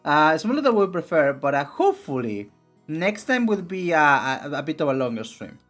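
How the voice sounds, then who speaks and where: A young man with a raspy voice streaming casually, rambling with many fillers and restarts. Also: on the high side, very animated, normal speed, fairly bright tone, faint music behind. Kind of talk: casual live-stream chat and reading game text.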